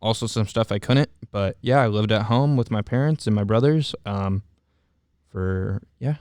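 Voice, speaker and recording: A young man in casual interview talk, candidly telling his own story with halting restarts and fillers. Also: on the low side, darkish tone, dry recording, clean studio sound. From Southern California. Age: young adult. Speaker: returned service missionary guest.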